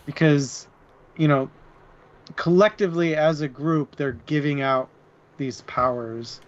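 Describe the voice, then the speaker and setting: Slow-talking man on a muffled mic. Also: fairly high pitch. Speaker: book club podcast co-host. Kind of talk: casual book discussion.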